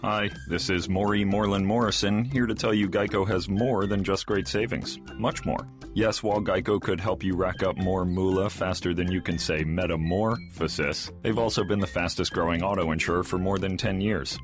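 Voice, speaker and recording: A man reading a scripted radio commercial as an announcer, with polished, deliberate delivery. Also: sits low, fairly even intonation, faint music behind. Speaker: advertisement announcer.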